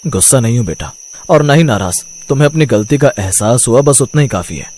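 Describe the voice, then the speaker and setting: A patient cartoon father played by a man talks in a medium-pitched voice. He is measured and reassuring, with faint background noise. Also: animated, a little mellow, natural voice. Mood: gentle, advising. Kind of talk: cartoon moral story acting.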